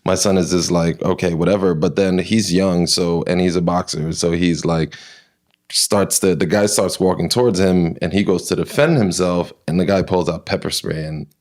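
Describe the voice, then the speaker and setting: Man, guest, from New York, low-pitched, rambling conversational storytelling. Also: normal speed. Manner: animated retelling, many fillers and restarts. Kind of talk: casual interview, personal storytelling.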